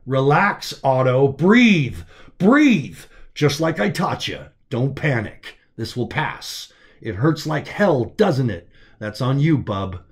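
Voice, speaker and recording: A man acts out a commanding sci-fi officer and mentor for an audiobook. He is measured and authoritative, with calm reassurance in the way he instructs. His pitch sits in a middle range. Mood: calm, coaxing. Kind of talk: dramatic audiobook character voice.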